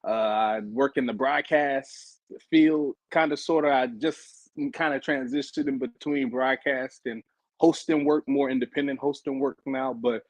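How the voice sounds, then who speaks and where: A man's voice: mid-range voice, fairly even intonation, unhurried, no room sound. A thirty-year-old man from Dallas, Texas, introducing himself as a guest in a casual, upbeat way. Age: 30s. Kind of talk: casual self-introduction on a video call.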